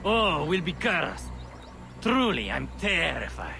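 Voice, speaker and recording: Scoffing soldier, creaky, swooping voice. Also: male voice, on the high side, sing-song, bright, forceful tone, faint music behind, heavily acted. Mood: mocking. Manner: sarcastic, slow, mocking. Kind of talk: video game voice acting.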